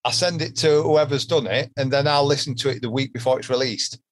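A man's voice: medium pitch, a little fast. Chatty Yorkshire man, podcast host. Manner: chatty, laughs easily, swears casually. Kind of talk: casual podcast chat.

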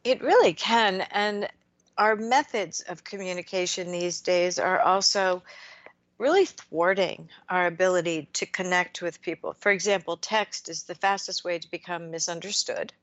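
A woman hosting a radio interview, speaking in a measured, reflective way and asking long framing questions. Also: lowish voice, fairly even intonation, a bit bright, no room sound, muffled recording. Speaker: radio show host.

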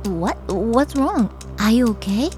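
A puzzled fiancée who has been woken up. Her voice is high, and she speaks in a natural, questioning way, as in an audio drama. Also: expressive, slow pace, darkish tone, faint music behind. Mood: confused, concerned. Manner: confused, questioning. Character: bewildered, concerned fiancée woken from sleep.

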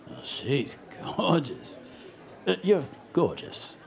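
A man's voice: medium pitch, wildly swooping pitch, slow pace, creaky, full character voice. A man playing a sly, appraising acquaintance in an audio drama, amused and sardonic, with a drawling delivery. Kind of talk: naturalistic audio drama acting.

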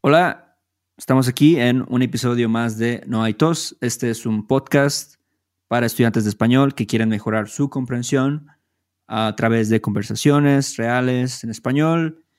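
A man hosting a podcast, relaxed and conversational, with small fillers. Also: medium pitch, measured pace. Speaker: podcast host. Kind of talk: casual podcast chat.

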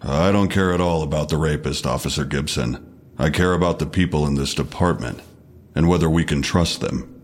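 Very deep-voiced probing detective. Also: male voice. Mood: grave, insinuating. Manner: measured, clipped, official. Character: probing, unflappable male police detective. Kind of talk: audio drama interrogation.

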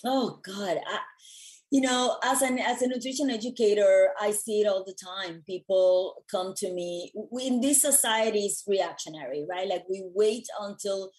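A woman from Puerto Rico speaking as an interview guest and wellness educator, telling things in an earnest, expressive, conversational way. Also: medium pitch, moderate pace, poor audio.

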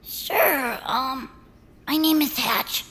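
A woman voicing a timid, nervous, sweet little creature with a creaky voice and a hesitant, worried manner. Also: high-pitched, expressive, very slow, fairly bright tone, full character voice. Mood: anxious.

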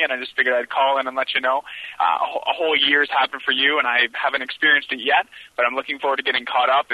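A man's voice: mid-range voice, no room sound. A man from Canada leaving a casual voicemail over the phone. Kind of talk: casual chat. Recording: dull, dry telephone voicemail.

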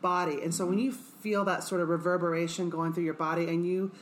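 Low-voiced woman, loose and profane. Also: steady tone, fairly quick, slight background noise. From Kansas. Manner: loose and profane, many fillers, laughs often. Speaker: guest performer and singer. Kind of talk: casual interview chat.

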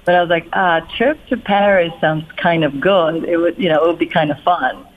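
A woman's voice: fairly low pitch, moderate pace. A middle-aged woman from Poland with a Polish accent, telling her life story as an interview guest in a reflective, rambling way full of fillers and restarts. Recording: narrow phone-like line.

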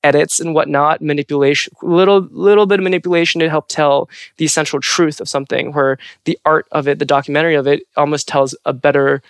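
A man's voice: fairly high pitch, fairly even intonation, quick pace, few pauses, clean tone, dry recording. A man who works as a Foley artist, talking thoughtfully in an interview and searching for his words. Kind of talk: interview talk.

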